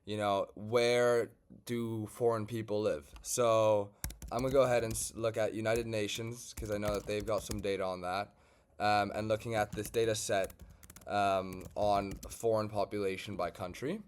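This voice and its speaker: A man from Germany, a data scientist, walking through an online data set in a relaxed, conversational tutorial with frequent fillers. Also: fairly low pitch, normal speed, a little hiss or hum. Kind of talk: screen-share tutorial walkthrough.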